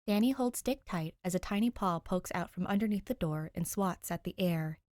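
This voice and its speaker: A woman's voice: lowish voice, normal speed, darkish tone, dry recording, clean studio sound. A woman giving a scripted narration of a story as an audiobook reader. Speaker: podfic narrator.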